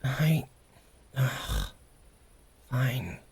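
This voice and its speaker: A woman playing a prickly, vulnerable, secretly tender young wolfgirl in an intimate ASMR roleplay, speaking haltingly and often dropping into whispers. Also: very deep voice, huge pitch swings, vocal fry, slight background noise, full character voice. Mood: grudging, shy. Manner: halting, guarded, softly emotional.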